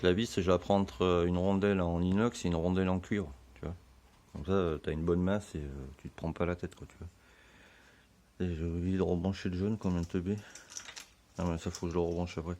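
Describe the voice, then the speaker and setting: Low hoarse man explaining casually. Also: moderate pace. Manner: relaxed, slangy, many fillers. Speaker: motorbike hobbyist.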